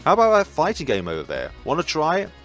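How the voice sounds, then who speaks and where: A man's voice: fairly high pitch, huge pitch swings, fast, fairly bright tone, soft background music, voice pushed up. A man voicing a polite, teasing young male gondolier and tour guide in a visual novel.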